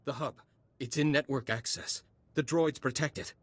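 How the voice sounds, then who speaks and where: Man acting a husky, anxious cyborg henchman. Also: mid-range voice, steady tone, normal speed, no echo, natural voice. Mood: frightened, pleading. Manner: anxious, clipped, machine-like status reports. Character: nervous male cyborg henchman, pleading, half machine. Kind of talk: video game voice acting. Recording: music under the voice.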